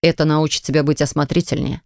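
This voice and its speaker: A woman doing a gruff exasperated father. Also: deep voice, little pitch movement, quick pace, no room sound, studio quality, pitched below the performer's own voice. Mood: lecturing. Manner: stern, exasperated, lecturing. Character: irritable tired father of a young boy. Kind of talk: audiobook character reading.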